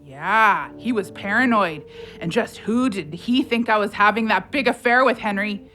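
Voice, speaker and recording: An actress playing a defiant, hurt young wife in an audio drama, with a biting, sarcastic manner. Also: female voice, medium pitch, moderate pace, pushed and bright, faint music behind, natural voice. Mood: biting sarcasm.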